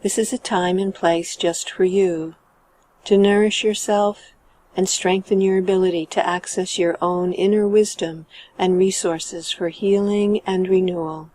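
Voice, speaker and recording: Soft-toned, mellow woman guiding meditation. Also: mid-range voice. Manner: calm, measured, gentle phrasing with trailing sentence ends. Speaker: meditation guide. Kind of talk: guided meditation narration.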